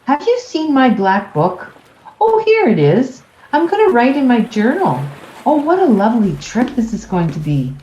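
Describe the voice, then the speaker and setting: A woman's voice: fairly high pitch, huge pitch swings, normal speed, dark tone, slight background noise. A woman playing a cheerful, doting, older wife in a radio play. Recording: muffled, roomy recording.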